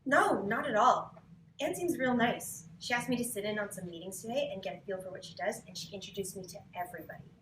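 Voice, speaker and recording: A woman's voice: mid-range voice, fast, natural voice. A woman performs as an optimistic would-be actress in a table read. She sounds earnest and upbeat, recorded in an echoing room with faint noise. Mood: cheerful. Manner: bright-spirited, earnest. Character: upbeat, optimistic aspiring actress turned assistant. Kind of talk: live table read of a novel. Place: cramped bookstore event, live. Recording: echoey live bookshop, faint noise.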